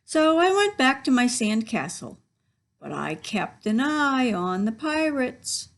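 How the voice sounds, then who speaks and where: A woman's voice: sits high, sing-song, slow. A woman reading a picture book aloud to children as a library story reader, instructive and given to asides to her listeners.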